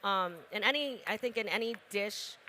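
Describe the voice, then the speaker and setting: A young adult woman, restaurant co-owner, chatting quickly with laughs and fillers. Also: medium pitch, sharp tone, slight background noise. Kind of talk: casual interview chat.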